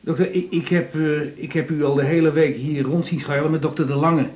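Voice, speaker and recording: An actor plays a pestering working-class man in a hospital, in a roomy, echoing radio play. His voice sits fairly high, and he stammers and pleads with naive insistence. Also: fairly even intonation, fairly quick, a little mellow, close to an everyday voice. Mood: hesitant, eager. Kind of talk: radio drama acting.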